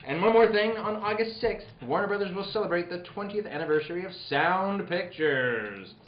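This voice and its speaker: A man performs a polished vintage radio announcer, selling every phrase with swooping emphasis. His voice sits slightly high, and the recording is roomy and a little dull. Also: animated, normal speed, slight background noise. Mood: grand. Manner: grandly emphatic, salesman-like. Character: polished, upbeat old-time radio announcer and pitchman. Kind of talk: 1940s radio announcing, scripted ad read.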